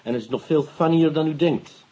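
An actor playing a blunt, probing Dutch detective in a radio drama, with a very husky, rough voice and a wry, direct manner. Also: male voice, on the high side, brisk, warm tone, lo-fi sound. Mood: earnest.

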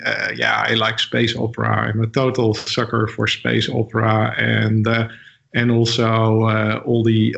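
Gravelly Dutch man, halting speech. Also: medium pitch, fairly bright tone. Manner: hesitant, many fillers and restarts. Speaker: interview guest. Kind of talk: casual interview conversation. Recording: dull lo-fi audio.

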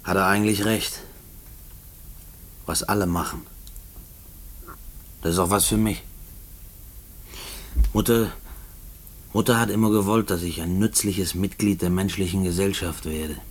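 An actor playing a restless male prisoner in a German radio play, brooding haltingly as if writing out letters. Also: sits low, fairly even intonation. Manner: halting, brooding monologue, spelling out words as he writes.

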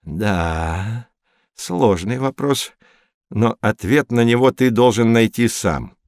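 An actor playing a learned older mentor who explains things to children in a scripted educational audio play. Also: male voice, on the low side, takes it slowly, no room sound, studio quality. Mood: explanatory. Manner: didactic, expressive, storytelling.

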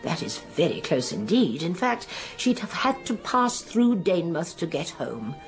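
An actress playing a shrewd, elderly English spinster sleuth in a radio drama, musing and precise in manner. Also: female voice, on the low side, very animated, unhurried, soft background music. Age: older.

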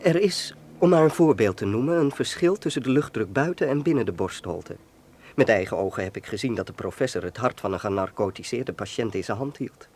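A worldly young medical student in a radio drama. He is talkative and explanatory, with a knowing manner and a medium-pitched voice. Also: a little mellow. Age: young adult. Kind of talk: Dutch radio play acting.

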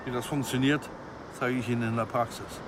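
A man's voice: mid-range voice, steady tone, brisk, heavy background noise, close to an everyday voice. An actor in a radio play voicing a businesslike male arms expert and professional killer, methodical and formally polite in his instructions. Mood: calm, instructing.

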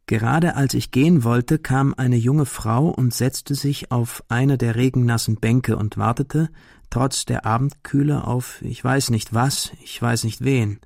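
A man's voice: mid-range voice, steady tone, unhurried, vocal fry, darkish tone, dry recording. Brooding young man, dry close sound. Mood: brooding, melancholy. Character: Augustin, lovelorn melancholy young man writing to his friend. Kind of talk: letter read aloud in a radio drama.

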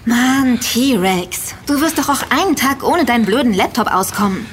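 An actress playing a young, impatient, sardonic and quick-witted woman in an audio drama, teasing her friends dryly. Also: fairly high pitch, animated, background noise, natural voice. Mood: impatient, sarcastic. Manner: teasing, dry sarcasm. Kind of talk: German audio drama dialogue.

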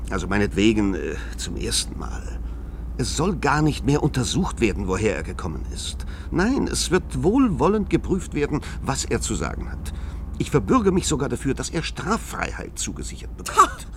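An actor in a science-fiction radio play, playing an earnest, evasive secret-service official who speaks formally and pleadingly. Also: male voice, mid-range voice, wildly swooping pitch, moderate pace. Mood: placating. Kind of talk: German science-fiction radio drama dialogue. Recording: noisy vintage radio play recording.

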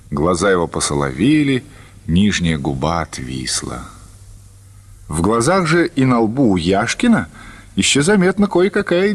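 Male narrator for radio literary drama, low-voiced, slow and deliberate, with expressive shifts in pitch. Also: husky.

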